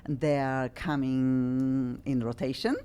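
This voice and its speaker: A low-pitched woman with a Polish accent hosts a studio talk show. She rambles casually, with fillers and restarts, as she introduces her guests. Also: fairly even intonation, slow pace, smooth. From Poland. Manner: chatty, warm-hearted, rambling with fillers. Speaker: host. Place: radio studio.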